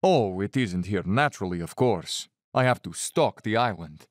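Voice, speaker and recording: Guy doing a smooth courtly cossack general for a radio play, lowish voice, super animated, quietly menacing, dry studio sound. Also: moderate pace, no echo, natural voice. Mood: smug, ominous. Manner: courtly, leisurely, self-satisfied. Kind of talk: audio drama acting.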